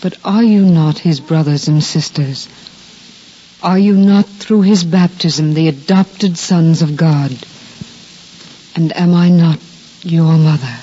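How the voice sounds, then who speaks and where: A solemn, tender holy mother speaks slowly in a mellow voice. The acting is reverent 1950s radio drama, on a dull old recording with faint music underneath. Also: deep voice, breathy edge, heavily acted. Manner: solemn, tender, measured phrasing. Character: devout sorrowful mother of Christ, tender, solemn.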